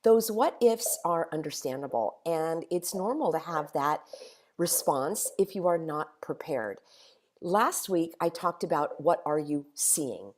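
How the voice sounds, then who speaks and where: Hoarse woman host, lower voice. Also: expressive, measured pace, a little mellow. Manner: repetitive rhetorical questions, emphatic. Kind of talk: motivational monologue.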